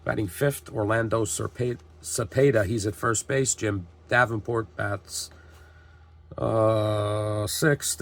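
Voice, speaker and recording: A man hosting a tabletop baseball game, reading lineups and calling plays like a broadcaster while getting over a cold. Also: lowish voice. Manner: steady, reads lineups and calls plays like a broadcaster. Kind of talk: play-by-play narration of a dice game.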